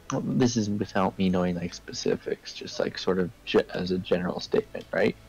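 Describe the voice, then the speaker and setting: A low-pitched man talks at a very unhurried pace with a fair amount of vocal fry. He is in a casual debate, laying out his argument step by step. He keeps checking that the listener follows. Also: a little mellow, low-quality recording. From Canada. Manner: explanatory, repeatedly checks in with "right?" and "okay?". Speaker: participant in a group discussion.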